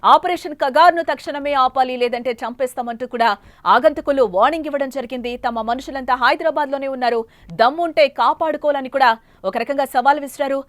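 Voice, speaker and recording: A woman news anchor with a high voice delivers breaking news. Her delivery is emphatic and repetitive, partly off the cuff. The sound is close and dry. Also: fairly quick, no room sound.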